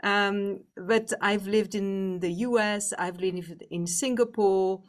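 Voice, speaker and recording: Woman, 50s, French accent; podcast guest, reflective, pausing now and then. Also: medium pitch, fairly even intonation. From France. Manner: thoughtful, reflective, frequent "um" pauses. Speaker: guest executive. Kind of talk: interview conversation.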